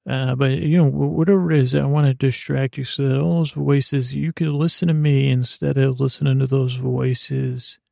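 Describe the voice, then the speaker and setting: Very gravelly, mellow man rambling. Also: medium pitch, little pitch movement, moderate pace, few pauses, no room sound, studio quality. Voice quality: creaky, gravelly. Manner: meandering, stammering, trails off and changes subject. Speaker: podcast host. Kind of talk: rambling bedtime monologue to help listeners sleep.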